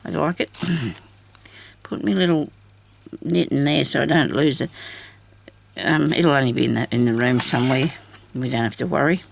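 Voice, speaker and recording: An older woman with a deep, raspy voice, chatting casually and laughing at herself while she does craft work. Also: moderate pace, pauses often, a little hiss or hum. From Australia. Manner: chatty, self-deprecating, laughs often. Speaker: craft vlogger.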